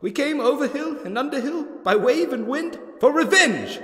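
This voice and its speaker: In a long cavern reverb, a small, wary burglar acted by a man talks in a high, very lively voice. He is courtly, sly and flattering, a character from a fantasy audio drama. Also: normal speed, full character voice. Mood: bold defiance. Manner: courtly, flattering, theatrical delivery. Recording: echoing cavern reverb effect.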